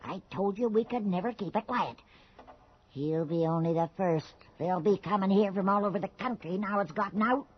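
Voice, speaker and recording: An actress playing a shrewd, bossy small-town storekeeper with a folksy, insistent way of talking in a radio drama. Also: female voice, mid-range voice, normal speed, breathy edge, natural voice. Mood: scolding, anxious. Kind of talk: 1980s radio drama acting. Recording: muffled old radio broadcast.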